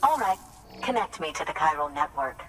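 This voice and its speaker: Low-voiced, eccentric, grandiose female scientist. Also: big pitch swings, moderate pace, recorded from a distance, over music, rough recording. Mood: pleased, brisk. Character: eccentric woman scientist studying ancient origins, eager, grandiose. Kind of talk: video game cutscene acting.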